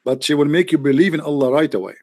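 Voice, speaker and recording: Gravelly-voiced man hosting a call-in. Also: a little high, animated, very fast, a little mellow. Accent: Arabic. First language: Arabic. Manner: teasing, jokey, non-native phrasing. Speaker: host taking calls. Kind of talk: casual call-in debate chat.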